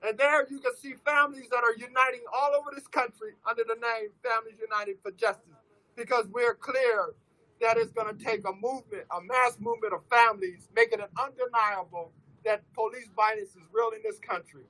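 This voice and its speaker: A man from Oakland giving an impassioned rally speech, hoarse and losing his voice, leading call-and-response chants. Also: very high voice, fairly even intonation, fairly quick, pushed and bright, poor audio. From Oakland, California. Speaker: rally speaker for families of police violence victims.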